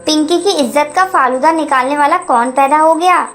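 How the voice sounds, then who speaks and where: A woman's voice: sits high, moderate pace, fairly bright tone, soft background music. A woman voicing a spiteful, scheming young female bird villain in a cartoon, menacing and boastful. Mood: spiteful, gloating.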